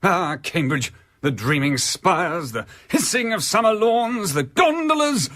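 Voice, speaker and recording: A man's voice: sits high, big pitch swings, unhurried, fairly bright tone, exaggerated voice. A man playing a pompous, officious army officer in a radio comedy sketch, clipped and erratic. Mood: dreamy, then irritated.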